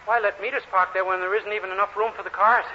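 An actor plays a hapless young man with a high, guileless, flustered voice. It is 1940s radio comedy, muffled under heavy noise. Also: steady tone, fast, natural voice. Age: young adult. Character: naive, hapless, anxious young man running for office. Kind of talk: 1940s radio sitcom acting.

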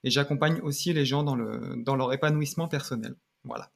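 A man in his thirties with a Parisian French accent, giving halting, often rephrased answers as an interview guest. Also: mid-range voice, vocal fry. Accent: French, Parisian region. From Paris region, France. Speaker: podcast guest, designer and video creator. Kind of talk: casual interview chat.

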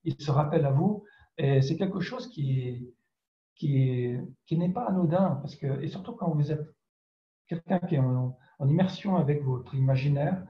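Male writer, introspective interview delivery with searching pauses; slightly high voice, roomy muffled recording. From France. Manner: hesitant, searching for words, introspective. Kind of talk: reflective spoken interview answer. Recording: dull, roomy, echoing sound.

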